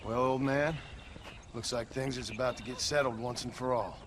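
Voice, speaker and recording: A man's voice: medium pitch, steady tone, normal speed, slight background noise, lightly acted. An actor playing a plainspoken, tough frontier rancher and father with an American Western accent in a video game. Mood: stern, urgent. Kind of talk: video game cutscene acting.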